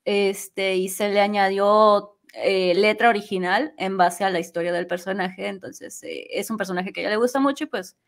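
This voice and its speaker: A woman's voice: mid-range voice, normal speed, few pauses. A woman with a Latin American Spanish accent chatting playfully as an interview guest. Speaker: guest, amateur voice actress. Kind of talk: casual interview chat.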